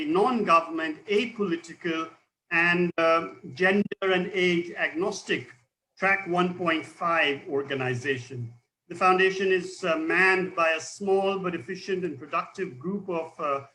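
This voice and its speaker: An older man with an Indian accent speaks in a formal, elaborate way, choosing long words. His voice is bright and forceful and sits a little high, with a faint hiss of noise behind it. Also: rough recording. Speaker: guest speaker, retired naval officer. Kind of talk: formal expert talk.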